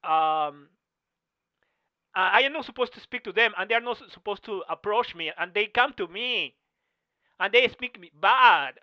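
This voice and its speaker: A middle-aged man whose first language is neither English nor French, giving halting testimony full of pauses and restarts over a video call. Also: sits high, lively intonation, no echo. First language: not English or French. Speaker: Masters track and field athlete. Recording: slightly muffled video call audio.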